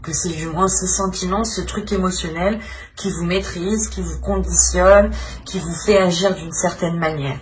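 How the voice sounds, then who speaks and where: A woman's voice: lowish voice, steady tone, normal speed, a little hiss or hum. A woman giving an improvised tarot reading straight to the viewer, feeling her way through repeated phrases and tag questions. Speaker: tarot reader, channel host.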